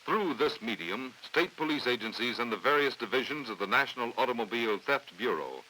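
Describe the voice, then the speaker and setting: An American man reading scripted training film narration in a formal, authoritative, measured way on a vintage 1950s soundtrack. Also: medium pitch, expressive, bright, forceful tone, slight background noise, rough recording. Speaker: documentary narrator.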